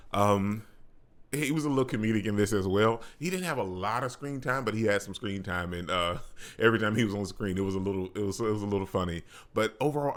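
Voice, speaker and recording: A man with a fairly low voice hosts a casual video review. He talks in a colloquial, slangy style with open enthusiasm, like he is chatting straight to his viewers. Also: fairly bright tone. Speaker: movie reviewer and channel host.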